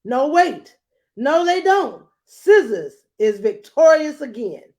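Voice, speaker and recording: Slow, dramatic woman reading a children's storybook. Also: high-pitched, very animated, a little mellow. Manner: dramatic, playful asides. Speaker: storybook reader. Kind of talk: animated children's read-aloud narration.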